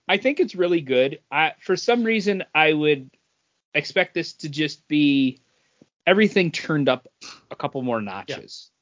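A man's voice: on the high side, lively intonation, a little slow, fairly bright tone, dry recording. A man co-hosting a podcast in a casual, bantering conversational style. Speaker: podcast co-host. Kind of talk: casual chat. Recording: dull lo-fi dry close recording.

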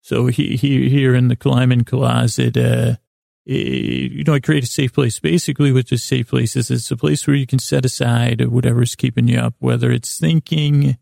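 A man hosting a sleep podcast, rambling through a whimsical bedtime monologue in a halting way, often repeating himself and talking to himself. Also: mid-range voice, little pitch movement, creaky, dark tone, no echo, studio quality. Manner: halting, meandering, repeats and trails off; whimsical, talks to himself in imagined dialogue. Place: inside a small closet.

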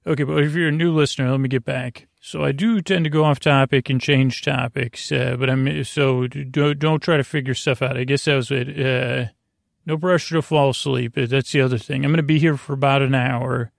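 A man with a creaky voice hosting a sleep podcast, rambling in a lulling, meandering, halting way. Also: mid-range voice, fairly even intonation, dry recording, studio quality. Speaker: podcast host.

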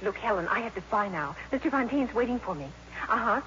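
A woman's voice: on the high side, expressive, fast talker, fairly bright tone. An actress playing a dreamy, proud teenage schoolgirl with gushing, girlish talk, in 1950s radio style. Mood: dreamy, thrilled. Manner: breathlessly gushing, girlish slang. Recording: muffled, noisy old radio recording.

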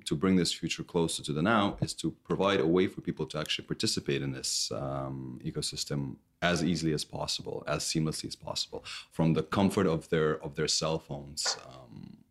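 A man in his thirties from Canada, a startup CEO answering interview questions, with many fillers and restarts. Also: rumbling low.

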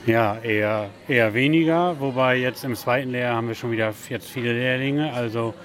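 A man's voice: medium pitch, fairly quick, fairly bright tone. A man teaching bakery trades, answering briefly and matter-of-factly. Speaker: vocational teacher.

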